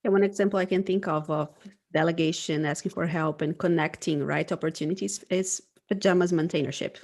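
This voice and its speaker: A woman's voice: on the low side, fairly even intonation, brisk, darkish tone. A woman, acting as a design manager, talking thoughtfully in a casual conversation, often ending points with a questioning tag. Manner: thoughtful, frequent "right?" tags.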